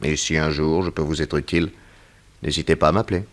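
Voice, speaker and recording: An actor playing a cool, shrewd private detective, offhand and assured, in a 1960s French radio crime drama. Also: male voice, rumbling low, fairly even intonation, fast talker, fairly bright tone, slight background noise. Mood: cool, curt.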